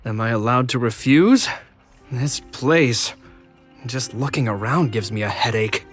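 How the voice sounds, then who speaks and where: A young video game character, a practical and dutiful little brother. His mid-pitched voice is measured and earnest, with the dry patience of someone long-suffering. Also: husky, soft background music. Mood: dry, resigned.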